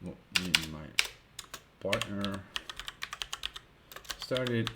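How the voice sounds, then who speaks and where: A man with a Dutch accent, a Dutch native speaker, narrating a screen-recorded web design tutorial, thinking aloud with many pauses and fillers. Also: on the low side, animated, very noisy.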